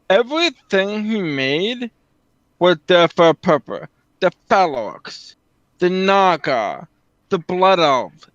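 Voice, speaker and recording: A man explains things off the cuff, emphatically and in halting steps. His voice is somewhat high, caught on a dry, slightly dull mic. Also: a bit bright, no room sound. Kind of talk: unscripted explanatory commentary.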